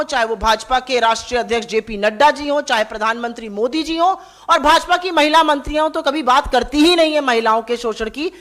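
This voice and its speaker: High-pitched woman spokesperson, emphatic and accusatory. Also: fairly bright tone. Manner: accusatory, emphatic, rhetorical questioning. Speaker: political party spokesperson. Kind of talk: press conference statement.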